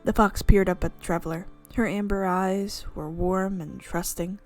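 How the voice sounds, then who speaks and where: A woman streaming a visual novel, reading the narration aloud with expressive, playful reactions. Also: fairly low pitch, normal speed, soft background music. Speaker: game streamer.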